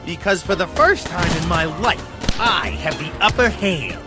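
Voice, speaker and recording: An actor playing a smug, gloating villain in an audio drama, with theatrical delivery. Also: male voice, sits high, animated, moderate pace, music in the background. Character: gloating villain seizing the upper hand.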